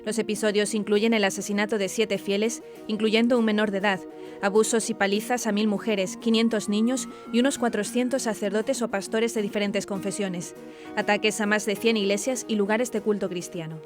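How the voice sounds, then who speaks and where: A woman's voice: mid-range voice, steady tone. A woman news presenter, reading a script formally and evenly. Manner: formal, measured newsreading. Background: clearly audible background music.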